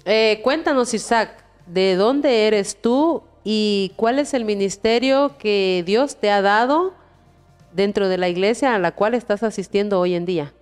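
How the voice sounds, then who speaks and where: Woman host of a church testimony show, clean smooth voice, soft music under it, keeps checking in with "right?". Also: medium pitch, measured pace. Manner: warm preachy phrasing with frequent "¿verdad?" prompts.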